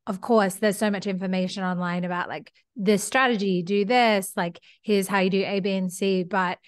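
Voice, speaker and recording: A young woman from Australia hosts a podcast, enthusiastic and quick to praise her guest. Her pitch sits in a middle range. She talks in a loose, chatty style full of casual fillers. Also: fairly even intonation. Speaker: podcast host. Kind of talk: casual interview chat.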